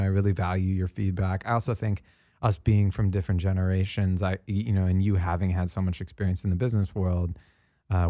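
A man with a deep, relaxed voice hosts a reflective interview. He talks thoughtfully and affirms the other person as he goes. The sound is close and dry. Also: no echo. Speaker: interviewer, host.

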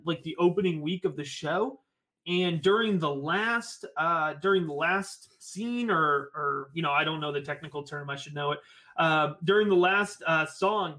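A talkative man from Connecticut chats as a podcast guest, his voice sitting a little high. He rambles eagerly, circling back and restating his points. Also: a bit bright, rough recording. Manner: enthusiastic, rambling, repeats phrases. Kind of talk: casual podcast chat about fantasy football.

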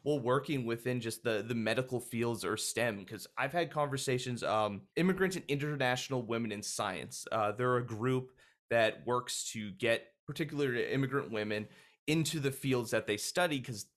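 A man's voice: medium pitch, moderate pace, a bit bright. A man interviewing a doctor in a loose, casual way, with lots of "like" and "um" fillers. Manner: loose, many likes and fillers.

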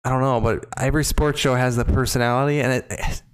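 A man's voice: medium pitch, steady tone, fast talker, smooth. A man co-hosting a podcast in casual chat, rambling and joking, reading out the chat. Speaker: podcast co-host.

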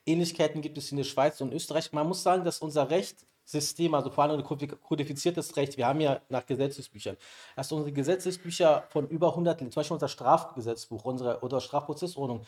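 A man's voice: fairly high pitch, a little mellow. A man who grew up in Berlin, a law graduate as podcast guest, explaining in casual chat with many fillers. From Berlin, Germany. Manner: quick, hesitant with many fillers. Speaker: guest lawyer.

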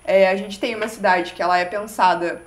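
A woman's voice: medium pitch, fairly even intonation, fairly quick. Echoey-voiced Curitiba woman interviewer. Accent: Curitiba Brazilian Portuguese. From Curitiba, Brazil. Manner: attentive, frequent brief affirmations. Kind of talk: interview questions.

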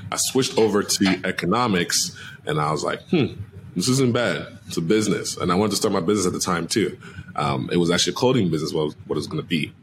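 An energetic young man from Cameroon, a marketing agency owner, talking casually and upbeat as a podcast guest. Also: deep voice, slight background noise. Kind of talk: casual interview talk.

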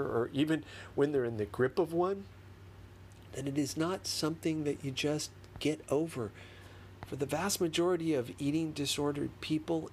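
Male audiobook reader, mid-range pitch, expressive memoir narration, deliberate dramatic phrasing, noticeable background noise. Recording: clearly noisy background.

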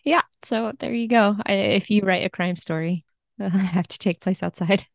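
A woman's voice: medium pitch, moderate pace, creaky, no echo, clean studio sound. A woman speaking as a translator guest on a podcast, discussing a book in an informal, conversational way.

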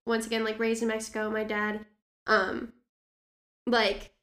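A young woman chatting casually as a host, with informal, halting phrasing peppered with "like". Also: fairly high pitch, completely flat delivery, a bit bright. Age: young adult. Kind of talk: casual chat. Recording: echoey room.

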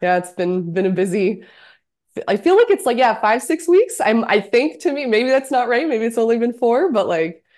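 A woman guest author who is under the weather, her voice deep from it, answering hesitantly. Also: lively intonation, moderate pace, darkish tone. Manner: hesitant, many yeahs. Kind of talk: casual interview chat.

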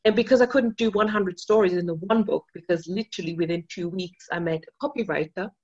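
A woman's voice: on the low side, a little fast, a little mellow, husky, lo-fi sound. A woman from Austria, not a native English speaker, telling an interviewer about her writing with eager, restart-filled talk. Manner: enthusiastic storytelling with many restarts and fillers. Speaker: author, interview guest.

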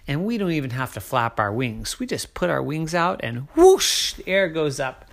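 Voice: medium pitch, very animated, normal speed, gravelly. A storyteller voicing a group of boastful male hawks who tease and brag. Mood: smug. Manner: bragging, teasing.

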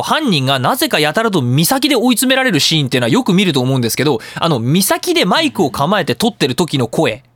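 Lively, quick-talking male radio host. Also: on the high side, no room sound. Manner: polite, self-joking, explanatory. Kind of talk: casual solo talk show on audio production. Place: recording studio.

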